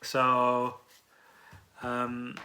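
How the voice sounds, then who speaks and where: A man's voice: medium pitch, monotone, very slow, pushed and bright. A South African man co-hosting a podcast in casual, unscripted chat. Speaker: podcast co-host.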